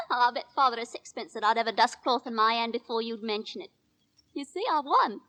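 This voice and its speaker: An actress playing a chirpy, naive young working-class daughter, in 1940s radio drama style. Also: female voice, a little high, sing-song, moderate pace, sharp tone. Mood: cheerful. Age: young adult. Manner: bubbly, giggly, naive.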